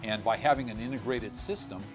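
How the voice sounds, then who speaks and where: Halting male school administrator over guitar music. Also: lowish voice. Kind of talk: informal on-camera interview answers. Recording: music playing under the voice.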